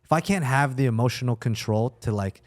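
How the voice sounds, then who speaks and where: A man's voice: mid-range voice, fairly quick, darkish tone. American man in casual, reflective conversation. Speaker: podcast participant.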